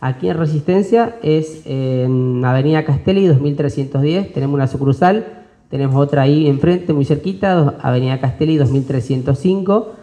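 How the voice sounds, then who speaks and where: A man's voice: fairly high pitch, moderate pace, dark tone. A man, a business owner speaking at a press conference with many fillers and restarts. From Argentina. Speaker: business owner presenting an expo. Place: indoor conference room. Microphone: desk mic on stand.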